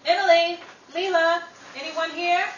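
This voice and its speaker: A woman playing an outspoken, quick-tempered young working sister in an old-time radio style drama, brisk and dramatic. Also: very high-pitched, flat delivery, muffled recording. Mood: curious, concerned. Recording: roomy, distant mic, noisy.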